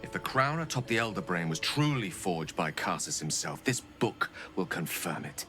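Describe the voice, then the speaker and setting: Fancy articulate game wizard, bright voice, lots of swing. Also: male voice, low-pitched, big pitch swings, moderate pace, faint music behind, heavily acted. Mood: intrigued. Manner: articulate, theatrical. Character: eloquent male wizard, refined and ambitious.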